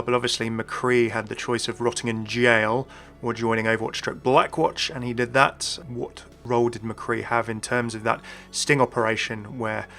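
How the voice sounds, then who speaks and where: Man, gaming video host, bright forceful voice, chatty explainer delivery, faint music behind. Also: medium pitch. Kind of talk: scripted explainer commentary with casual asides. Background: faint background music.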